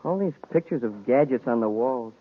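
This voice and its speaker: An actor playing an eager, curious young space cadet, boyish and deferential, in a 1950s radio serial. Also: male voice, mid-range voice, animated, normal speed, a little hiss or hum, natural voice. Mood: curious, excited. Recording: muffled vintage radio recording.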